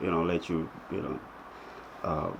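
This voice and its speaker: A male interview host from West Africa who asks questions in a halting way with many fillers. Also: sits low, level tone, creaky, muffled recording. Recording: faint background noise.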